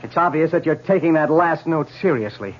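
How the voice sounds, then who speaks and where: An actor playing a firm, worried husband who speaks sternly, in an old radio drama. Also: male voice, fairly high pitch, expressive, brisk. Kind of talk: 1970s radio drama acting. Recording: dull old radio broadcast with hiss.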